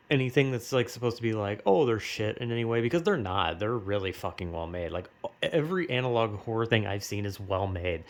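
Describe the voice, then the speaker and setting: A young American man talks off the cuff in a loose, rambling way. His speech is slangy and full of swearing, like casual opinion commentary among friends. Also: mid-range voice. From United States. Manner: rambling, slangy, heavily profane.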